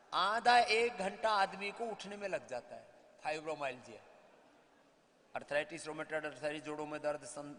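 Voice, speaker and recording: High-pitched, bright-voiced male yoga guru. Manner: exhorting, emphatic, listing remedies. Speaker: yoga guru leading a health camp. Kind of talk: sermon-like health talk to a live audience. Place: large hall, live gathering. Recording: echoey large hall sound system.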